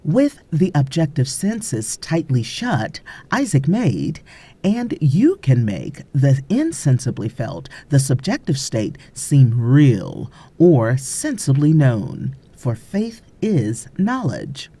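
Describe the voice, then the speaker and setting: A woman narrating an audiobook from a script in a measured, deliberate, instructive way, pausing between phrases. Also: a bass voice, huge pitch swings, warm tone, slightly rough.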